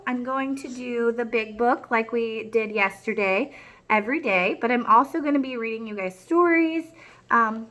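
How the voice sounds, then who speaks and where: Woman, children's teacher: encouraging and chatty, slightly high bright voice, simple read-aloud lesson delivery. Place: at home, small child beside her.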